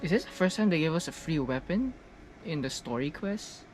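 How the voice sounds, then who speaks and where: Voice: medium pitch, sing-song, moderate pace, slight background noise, rough recording. A gaming streamer reacting casually to gameplay, repeating phrases and drawing out exclamations.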